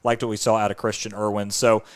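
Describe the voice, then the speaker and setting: A man's voice: lowish voice, flat delivery, quick pace. A man hosting a sports podcast in an upbeat, chatty presenting style.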